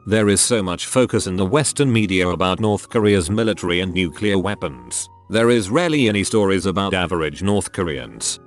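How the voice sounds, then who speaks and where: A man with a fairly low voice reads online posts in a steady, even scripted narration. He keeps the same measured delivery for every question and answer. Soft music plays faintly behind him. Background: faint background music.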